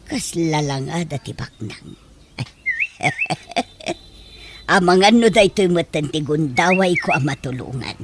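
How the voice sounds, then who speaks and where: Actress as an envious, animated village schemer woman. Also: deep voice, noisy background. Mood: scheming curiosity. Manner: exclamatory, theatrical, drawn-out reactions. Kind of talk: Ilocano comedy radio drama acting.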